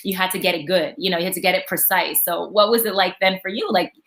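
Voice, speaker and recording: A woman's voice: fairly low pitch, quick pace, fairly bright tone. Woman host, affirming and enthusiastic, casual interview delivery.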